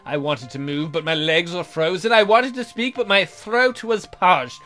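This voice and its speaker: Guy doing a funny lets play, reading a story out loud super theatrical, highish bright voice, bit of music under it. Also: normal speed. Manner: theatrical, jokey, exaggerated. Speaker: let's play host. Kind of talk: comedic read-aloud of a visual novel with joking asides.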